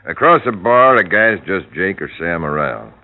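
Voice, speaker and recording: An actor playing a shifty, evasive bartender with streetwise, slangy talk in an old radio crime drama. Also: male voice, sits low, sing-song, normal speed. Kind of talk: 1940s-50s radio drama acting. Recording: muffled old radio recording.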